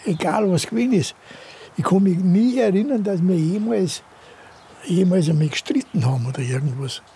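Mellow old Bavarian man reminiscing. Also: high voice, lively intonation, normal speed, slight background noise. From rural Bavaria, Germany. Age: 80s. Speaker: interviewee, local villager. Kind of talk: casual reminiscence.

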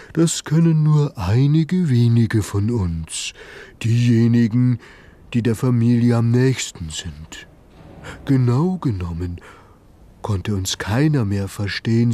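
A man voicing a big, gentle, forgetful hippo in a storybook reading, speaking softly and calmly. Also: mid-range voice. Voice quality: soft as suede. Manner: slow, calm, hushed.

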